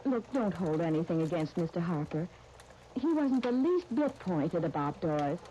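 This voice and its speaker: An actress playing an earnest, tactful young dress designer in a 1930s radio soap opera, speaking in a polite, gently persuasive way. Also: female voice, lowish voice, sing-song, normal speed. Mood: conciliatory, persuading. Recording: muffled, hissy 1939 radio broadcast recording.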